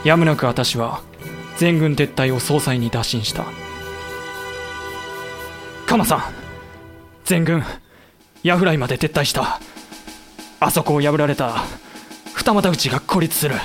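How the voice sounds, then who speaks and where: A man acting as a wry, composed samurai official who narrates a war in a literary, measured way for an audio drama. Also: on the high side, faint music behind, lightly acted. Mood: grave. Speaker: narrator and character.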